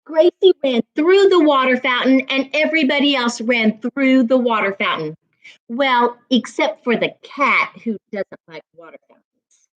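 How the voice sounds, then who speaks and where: High-pitched, animated woman reading to children. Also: lo-fi sound. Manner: deliberate, emphatic phrasing for young listeners. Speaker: reading coach and storytime host. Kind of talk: expressive children's read-aloud and teaching.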